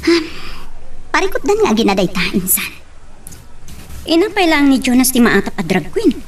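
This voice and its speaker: A woman's voice: high voice, big pitch swings, moderate pace, slight background noise. An actress with an Ilocano accent playing an earnest, insistent young policewoman in a radio drama. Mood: concerned.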